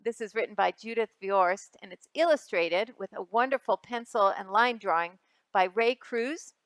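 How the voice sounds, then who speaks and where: A woman's voice: medium pitch, moderate pace, a bit bright, dry recording. A woman reading picture books aloud for a children's storytime, speaking expressively and directly to young listeners.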